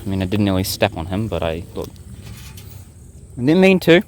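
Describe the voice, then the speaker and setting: A man's voice: low voice, normal speed, a little hiss or hum. Young guy vlogging in the bush, chill rambling chat, crickets going in the background. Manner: rambling, relaxed, talks straight to viewers. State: sick, blocked nose and sore throat. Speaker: bushcraft vlogger. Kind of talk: casual outdoor vlog. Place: outdoors in the bush.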